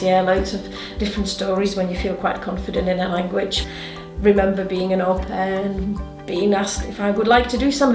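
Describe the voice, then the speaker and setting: A woman with a Czech accent, telling lively stories about her early days abroad as an interviewee. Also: mid-range voice. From Czech Republic. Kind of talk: personal anecdote. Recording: roomy, distant mic, music behind.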